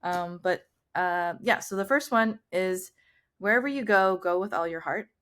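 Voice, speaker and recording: A woman's voice: on the low side, measured pace, a bit bright. A woman from Southern California hosting an interview, reading a short intro and then chatting with many "um"s and "like"s. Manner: friendly, filler-heavy with "um" and "like". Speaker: podcast host.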